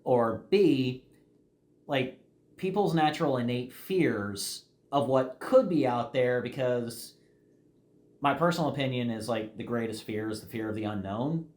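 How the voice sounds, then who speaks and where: A man co-hosting a casual podcast, speaking in a laid-back way with many fillers and quick questions. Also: mid-range voice, a little mellow, echoey room. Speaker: podcast co-host. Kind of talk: casual chat.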